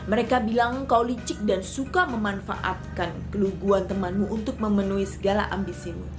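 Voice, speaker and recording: A woman's voice: medium pitch, fairly even intonation, moderate pace, over music, natural voice. A woman voicing a gullible, hungry crocodile in a children's storybook, blunt and naive. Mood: naively pleased. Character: gullible, hungry crocodile, grumpy but trusting.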